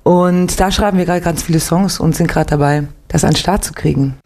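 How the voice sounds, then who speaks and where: A young Swiss woman, an actress and singer, chatting lively as a guest in a late-night radio interview, with a faint Swiss German accent. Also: on the low side, fast talker. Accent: slight Swiss German. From Switzerland, Winterthur. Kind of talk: relaxed radio interview chat.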